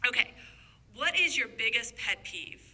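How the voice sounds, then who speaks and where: A woman's voice: on the high side, lively intonation, a little slow, sharp tone, slightly rough. A woman hosting a casual, chatty interview in an encouraging, affirming way. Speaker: host, interviewer.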